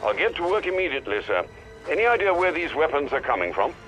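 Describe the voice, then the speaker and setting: A man's voice: on the high side, big pitch swings, fast, a bit bright, highs cut off, faint music behind. A man playing a loyal, formal butler in a video game, polite, concerned and supportive.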